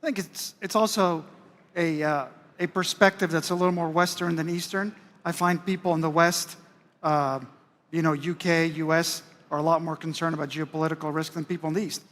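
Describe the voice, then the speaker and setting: High-pitched male executive, conversational, echoing conference stage. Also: steady tone, fairly quick, a bit bright. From United States. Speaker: panelist, business executive. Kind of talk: panel discussion. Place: large conference hall stage. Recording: long hall reverb.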